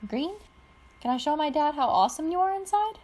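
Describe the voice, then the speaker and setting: A woman's voice: high-pitched, expressive, a little fast, a little mellow, voice pushed up. A woman voicing an eager, clever teenage girl pilot in an audiobook reading.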